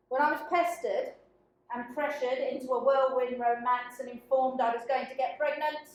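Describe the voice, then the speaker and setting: A woman giving a deliberate, dramatic spoken-word reading, with emphatic phrase openings that fall away to quieter endings. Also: high voice. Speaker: dramaturge reading a participant's written piece. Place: echoey indoor workshop room. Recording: echoey room.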